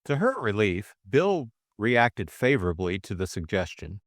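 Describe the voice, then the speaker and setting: A man's voice: on the low side, sing-song, measured pace, dry recording. A man reading scripted fiction as an audiobook narrator, close on the mic.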